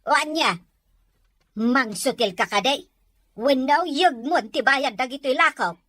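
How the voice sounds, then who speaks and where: A woman plays an older market seller in a radio-style drama. She speaks high and unhurried, chiding with patient exasperation, in a dry close recording. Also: lively intonation, a bit bright, no room sound, full character voice. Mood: mildly annoyed. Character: older village vegetable seller, exasperated but polite. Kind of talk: Ilocano audio drama acting.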